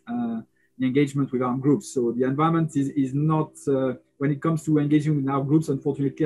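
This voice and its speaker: A man giving reflective, halting answers as a panelist on a video call. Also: medium pitch, dark tone, poor audio.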